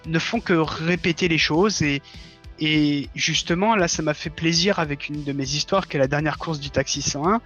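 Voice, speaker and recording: A man's voice: a little high, normal speed, a bit bright. A man explaining his views in a casual conversation, earnest and colloquial. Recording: dull lo-fi sound, music behind. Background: music.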